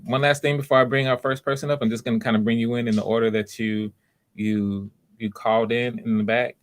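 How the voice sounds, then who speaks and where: A man hosting a livestream, explaining things casually in a halting way and often repeating himself. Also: mid-range voice. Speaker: livestream host running voice auditions. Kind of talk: casual live announcements to viewers.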